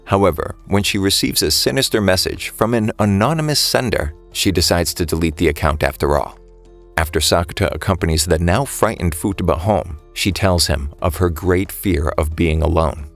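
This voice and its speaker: Deep-voiced man narrating over music. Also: moderate pace. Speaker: narrator of an anime story recap. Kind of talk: scripted narration.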